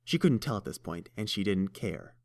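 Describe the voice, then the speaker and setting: A man reading a fan story aloud with a very low voice. His delivery is steady and even, paced like an audiobook. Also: normal speed, vocal fry. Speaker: narrator.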